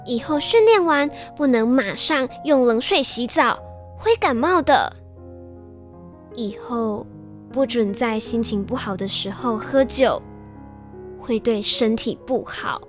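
A woman's voice: on the high side, lively intonation, slow pace. A woman playing a devoted, heartbroken young woman in a radio drama, speaking tenderly and sorrowfully. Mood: tender, sad. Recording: music behind the voice.

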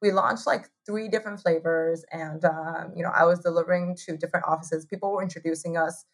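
A woman in her thirties from Taiwan tells her story as an interview guest. Her voice sits low and has a husky, breathy edge. She talks in a loose, chatty way, laughing often and leaning on "like". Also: steady tone, brisk. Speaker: guest, hot sauce co-founder.

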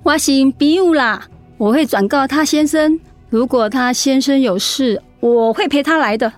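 An actress playing a steady, supportive friend in a radio drama, soothing and encouraging. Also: female voice, high-pitched, normal speed, no echo, soft background music, natural voice. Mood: reassuring. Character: supportive, steady female friend, comforting and practical.

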